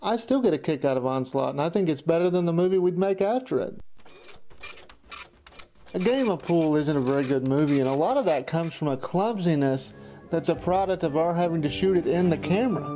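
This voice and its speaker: Higher-pitched man reminiscing over music. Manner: conversational storytelling. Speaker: amateur filmmaker narrating a retrospective. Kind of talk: reminiscing documentary narration.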